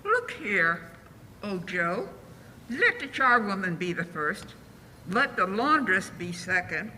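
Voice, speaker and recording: An actor playing a sly, callous scavenger with a theatrical, cackling manner in a 1940s radio drama. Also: male voice, sits high, big pitch swings, slow pace, pushed and bright, full character voice. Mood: brazen, sly. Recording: echoey hall, faint background noise.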